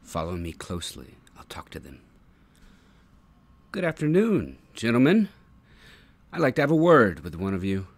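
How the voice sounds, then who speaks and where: Guy doing a proud menacing duelist, lowish voice, formal and confrontational, lively intonation, radio play. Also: moderate pace, pauses often, no room sound, full character voice. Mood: cool, menacing. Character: menacing, proud young swordsman looking for a feud. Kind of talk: audio drama acting.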